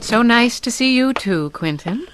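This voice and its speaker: An actress playing a caring, gently teasing helper to a detective in a radio mystery, speaking articulately and expressively. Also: female voice, on the high side, lively intonation, measured pace, over loud music, poor audio. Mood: wry, informative. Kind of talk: old-time radio mystery drama acting.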